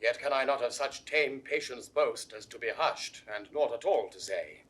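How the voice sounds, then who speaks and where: An actor playing a defiant, indignant knightly duke in impassioned Shakespearean verse. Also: male voice, mid-range voice, animated, normal speed, fairly bright tone, slightly rough. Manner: impassioned declamation with swelling emphasis. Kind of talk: classical Shakespearean verse acting, 1960s recording.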